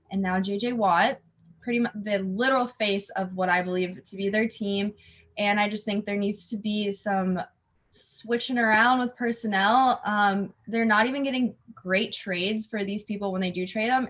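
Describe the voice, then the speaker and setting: A woman's voice: mid-range voice, fairly bright tone. A young woman guest on a casual sports podcast, giving opinions steadily with frequent "um"s.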